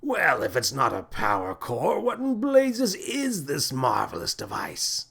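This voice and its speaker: A mad space captain for a children's space adventure. He is theatrical and exclamatory, lurching between menace and gleeful wonder. His pitch leaps all over the place. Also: huge pitch swings, a little slow, gravelly, a bit bright, slightly rough, exaggerated voice. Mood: curious glee.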